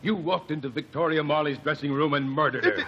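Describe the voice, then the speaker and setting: An actor playing a methodical male courtroom investigator who presses accusations in a measured way, in an old radio drama. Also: on the high side, slightly rough. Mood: accusing. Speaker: lead detective. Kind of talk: 1940s radio acting. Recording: dull old radio broadcast with faint hiss.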